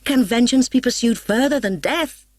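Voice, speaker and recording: A woman's voice: on the high side, moderate pace, a little mellow, no room sound, studio quality, pitched above the performer's own voice, close to an everyday voice. A woman voicing a grieving, indignant young nobleman in a storybook, declamatory and anguished. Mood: outraged. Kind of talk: dramatic audiobook character reading.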